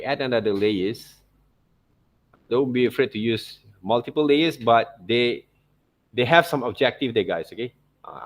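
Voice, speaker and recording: A man giving an informal tutorial as a teacher, often checking in with little tag questions. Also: medium pitch. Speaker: art teacher. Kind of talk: informal screen-share tutorial commentary.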